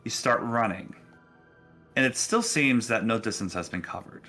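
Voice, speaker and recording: A man narrating a tabletop roleplaying game as dungeon master, halting and repeating words as he goes. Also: fairly low pitch, lively intonation, sharp tone. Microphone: online group call. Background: music.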